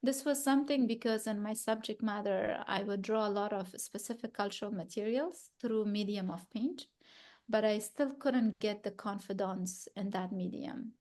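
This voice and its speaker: Woman artist from Afghanistan, thirties, gallery talk, thoughtful and halting with restarts, mid-pitched voice. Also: a little mellow. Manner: thoughtful, searching for words, frequent restarts. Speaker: exhibiting artist.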